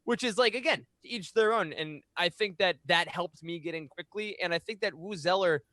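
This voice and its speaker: A man's voice: sits high, moderate pace, fairly bright tone, no room sound. A man in his thirties giving earnest, emphatic opinions in a casual podcast discussion, speaking close to a studio mic. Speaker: podcast co-host. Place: small home room, indoors. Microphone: boom-arm studio mic up close.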